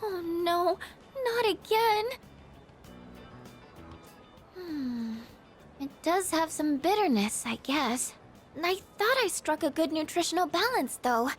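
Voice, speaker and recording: A woman playing a shy, gentle, insecure teenage girl in a video game, with a hesitant, apologetic, stammering way of talking. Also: very high-pitched, exaggerated voice. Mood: flustered, self-doubting. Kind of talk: video game character dialogue voice acting.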